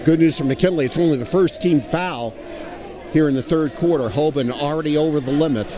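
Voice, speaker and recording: A man's voice: a little high, fairly quick. A man giving live basketball commentary and analysis as part of a broadcast team. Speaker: sports commentator, game analyst. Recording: noisy gym with audible crowd. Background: crowd and gym noise.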